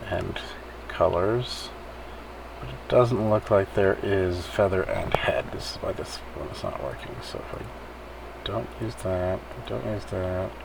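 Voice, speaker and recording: Male gamer, lowish gravelly voice, casual commentary, thinks aloud, audible background noise on the recording. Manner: thinking aloud, muttering step by step. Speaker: gamer hosting a server event.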